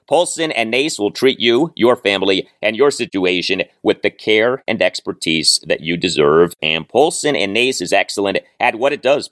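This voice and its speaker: Animated man hosting a sports podcast, dry sound. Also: lowish voice, no room sound. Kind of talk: sports commentary monologue with sponsor read.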